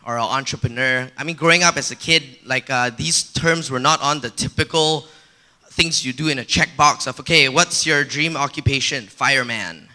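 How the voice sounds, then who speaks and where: A man from Malaysia giving a conference talk on stage in a relaxed, personal way with frequent fillers. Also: mid-range voice, normal speed. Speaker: conference speaker. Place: conference stage before an audience.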